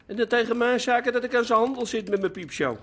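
A man's voice: extremely high pitch, fast, a bit bright. An actor playing a blunt, suspicious sex-club owner in a radio drama, pressing his point in colloquial speech. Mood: confronting, probing. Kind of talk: naturalistic Dutch radio drama dialogue.

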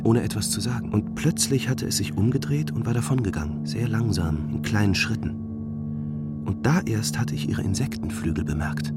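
Actor as low-voiced, weary grieving man. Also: natural voice. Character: weary, grieving, sleepless friend of a dead physicist. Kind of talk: German radio drama acting with introspective narration. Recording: music playing under the voice. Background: prominent music.

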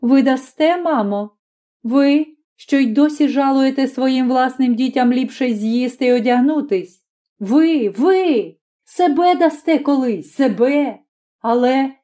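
A bold young peasant son, sharp-tongued and mocking, pressing his mother with pointed questions in a storybook reading, as a woman reader puts him on. The voice sits high and mellow, with a slow, deliberate edge. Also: lively intonation. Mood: taunting.

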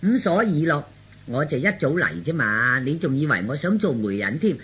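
A man's voice: a little high, very animated, moderate pace, fairly bright tone, breathy edge, exaggerated voice. A man voicing a meddling middle-aged aunt in Cantonese radio storytelling, earnest, insistent and colloquial. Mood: earnest persuasion. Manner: insistent, colloquial, full of rhetorical tags.

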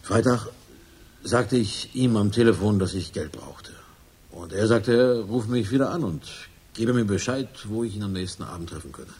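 An actor playing a nervous, self-justifying crook confessing in a radio crime drama, halting and colloquial. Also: male voice, low-pitched, a little mellow, breathy edge. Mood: tense recounting.

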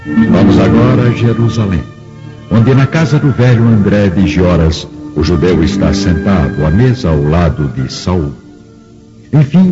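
A man with a Brazilian accent narrating a scripted radio drama in a solemn, literary manner. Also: low-pitched. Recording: muffled audio, music underneath.

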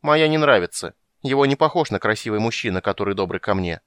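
Squeaky grumpy little dwarf girl, broken grammar, dead dry close mic, man doing the voice. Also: animated, fast talker, fairly bright tone, clean tone, no room sound. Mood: petulant.

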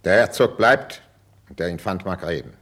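Stern, low-voiced ageing king. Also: a bit bright. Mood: dry, formal. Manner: measured, commanding, austere stage diction. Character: stern, cold, ageing king and distrustful father. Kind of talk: 1950s German radio drama acting.

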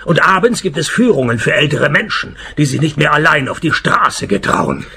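A sardonic private detective in a comic radio play. His voice is very creaky and bright, swinging widely in pitch under a deadpan, cynical delivery. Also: normal speed, heavily acted. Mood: deadpan sarcasm. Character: cynical, broke, wisecracking male private detective. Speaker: narrator and lead actor.

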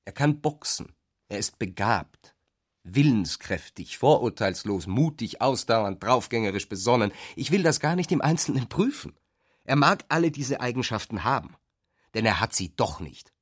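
Radio drama: disapproving, jealous husband, a brooding intellectual, didactic and biting, middle-pitched voice. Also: male voice, big pitch swings, moderate pace, slightly rough. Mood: growing aversion. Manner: emphatic, didactic, scornful, spacing words out. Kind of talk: literary radio drama acting.

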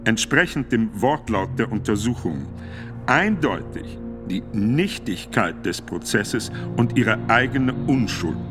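An actor playing a solemn, authoritative medieval pope who formally declaims a decree in a measured, emphatic way for a radio play. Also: male voice, medium pitch. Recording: studio radio drama with music behind.

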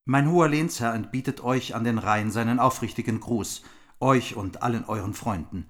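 An actor playing a noble, loyal knightly envoy in a radio drama, speaking formally and earnestly. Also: male voice, mid-range voice, moderate pace, close to an everyday voice. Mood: solemn, grave. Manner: formal, solemn.

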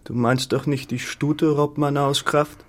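An actor playing an insolent young farm lad in a literary radio drama, cheeky and provocative. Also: male voice, fairly high pitch, level tone, measured pace, close to an everyday voice. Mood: defiant, mocking.

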